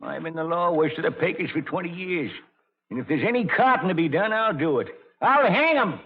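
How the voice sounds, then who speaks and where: An actor playing an older, blustering, folksy frontier saloon judge in an old radio drama, with an American Western drawl and a gravelly voice. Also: male voice, on the high side, lively intonation, fairly quick. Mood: defiant. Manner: folksy, bombastic, self-important. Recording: muffled vintage radio recording.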